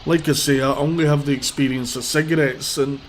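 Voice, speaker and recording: A man's voice: medium pitch, fairly even intonation, fairly quick. A man with a cold, sniffling a little, giving blunt, rambling live commentary while out on a city street on a handheld phone. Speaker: livestreamer. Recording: loud street traffic behind. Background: traffic and vehicles.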